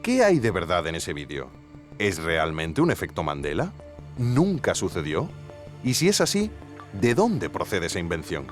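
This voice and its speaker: Male podcast investigator: low, expressive, scripted and earnest, music behind. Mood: measured, intrigued. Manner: hesitant when questioning, measured when narrating. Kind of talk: scripted investigative podcast narration, with interview questions.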